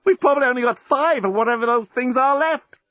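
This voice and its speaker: Lucy, a breathless, gushing lovestruck woman, as a male narrator puts her on, shrill and loud, slightly muffled dry recording. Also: brisk, dry recording. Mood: frantic. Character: lovestruck, frantic young woman.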